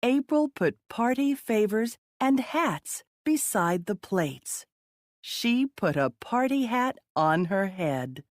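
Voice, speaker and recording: Very slow, lively woman reading a storybook. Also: a little high, no echo, clean studio sound. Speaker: children's storybook reader. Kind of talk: read-aloud children's story narration.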